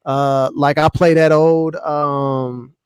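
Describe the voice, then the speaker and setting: A man with an American accent and a somewhat high voice talks in a loose, slangy way as a podcast co-host. The sound is close and dry, with very little room on it. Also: little pitch movement, slow, darkish tone, clean tone, no echo. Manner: slangy, informal. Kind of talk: casual hip-hop podcast chat.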